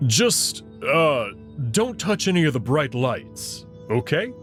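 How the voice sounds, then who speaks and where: A man voicing a friendly, clumsy male alien wolf in a visual novel, nervous and halting in his slow speech. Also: fairly high pitch, sing-song, fairly bright tone, soft background music, heavily acted. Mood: apologetic, awkward.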